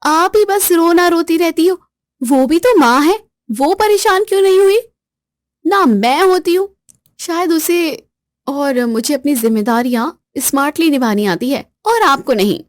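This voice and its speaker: A woman voicing a boastful, sharp-tongued young wife in a spoken story, talking in a taunting, frazzled way. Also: lively intonation, a little slow, no echo, clean studio sound. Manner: taunting, then shrill and frazzled.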